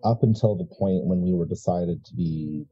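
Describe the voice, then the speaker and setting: A very dark, low-voiced heir to an occult family, played by a man at a game table, who weighs each phrase with halting deliberation. He is proud and coldly calculating. Music sits behind the muffled sound. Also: slightly rough. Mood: proud, scheming. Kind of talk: improvised tabletop horror roleplay.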